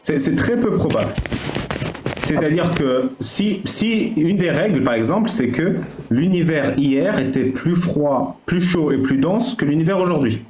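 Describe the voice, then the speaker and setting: Caller guy, fairly high voice that bounces around, laying it out point by point, echoey. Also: lively intonation, measured pace, a little mellow. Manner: step-by-step, restarts sentences. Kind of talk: explaining an argument. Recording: roomy with faint noise.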